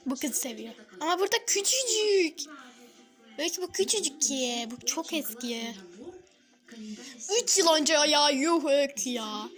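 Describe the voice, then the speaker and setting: High-pitched, chatty young-sounding woman vlogging. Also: lively intonation, unhurried, a bit bright. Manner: chatty, addressing viewers and a small child in turn. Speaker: family vlogger filming a young child. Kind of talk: casual home vlog chat. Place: at home, in front of a television. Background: music.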